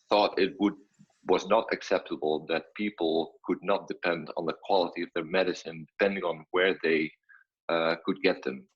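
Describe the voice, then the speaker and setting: Dutch man in his fifties, non-native English, very low husky voice, measured with fillers, dull video-call audio. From Netherlands. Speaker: guest scientist.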